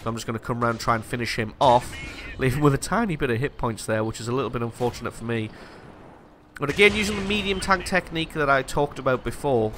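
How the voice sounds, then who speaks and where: A man's voice: medium pitch, quick pace, fairly bright tone, slight background noise. A man, congested from a cold, giving casual, self-deprecating commentary over his tank gameplay as host of a gaming channel.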